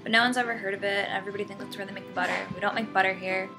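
A young woman from Florida chats casually in a quick stream. Her voice is creaky and flat in pitch movement, with music behind. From Florida, United States. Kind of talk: casual chat to camera.

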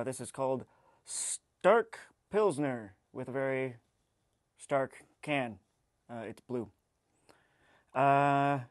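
A man, hosting a casual tasting in a halting, wry way. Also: medium pitch, no room sound, studio quality. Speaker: host of a beer tasting show. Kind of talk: casual unscripted tasting commentary. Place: kitchen studio, indoors.

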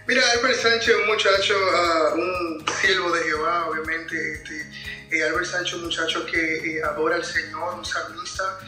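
Dominican male interview guest, higher-pitched and very bright, roomy video-call sound. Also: steady tone, moderate pace, faint music behind. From Dominican Republic. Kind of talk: interview testimony. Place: indoors at home, on a video call. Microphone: tablet video call.

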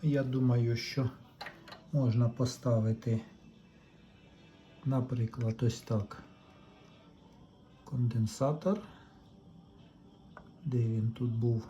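A man's voice: mid-range voice, fairly even intonation, slow pace, pauses often, mellow, lo-fi sound, soft background music. A man talks viewers through a hands-on electronics repair, casually explaining each step without a script. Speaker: electronics repair hobbyist presenting his own video.

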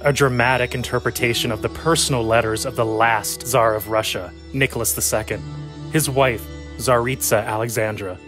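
A man gives scripted narration for a historical audio drama. His voice sits at a medium pitch, with faint guitar music playing behind him. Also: fairly bright tone.

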